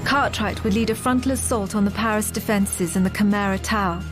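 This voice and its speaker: A woman's voice: medium pitch, fairly quick, music in the background, lightly acted. A woman playing a grave wartime narrator in a video game, recounting a resistance campaign in scripted narration. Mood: grave, measured.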